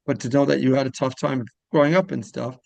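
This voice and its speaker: A man's voice: mid-range voice, fairly even intonation, fast, vocal fry, darkish tone, dry recording. A man hosting a casual interview, rambling as he repeats and rephrases his questions. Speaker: interviewer, show host.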